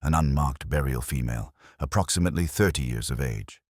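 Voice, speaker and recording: A man playing an austere, commanding detective in an audiobook story, speaking in measured, clipped pronouncements. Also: rumbling low, darkish tone, no room sound, studio quality. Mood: quiet, intent.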